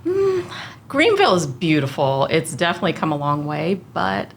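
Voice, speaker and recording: Woman, 40s, low voice, earnest storytelling, podcast guest, light hiss. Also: wildly swooping pitch, moderate pace, gravelly. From United States. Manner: earnest, reflective storytelling with frequent fillers. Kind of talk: casual conversational interview.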